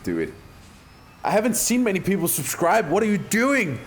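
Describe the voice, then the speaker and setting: A man's voice: sits high, wildly swooping pitch, moderate pace, a little hiss or hum. A man from Denmark with a Danish accent, hosting a live game stream in a brash, profane, joking way. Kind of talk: casual live-stream chat.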